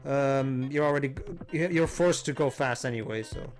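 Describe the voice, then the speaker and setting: A man's voice: mid-range voice, normal speed, soft background music. A man hosting a let's play, talking casually and explaining at length with lots of fillers and restarts. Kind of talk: casual gameplay commentary.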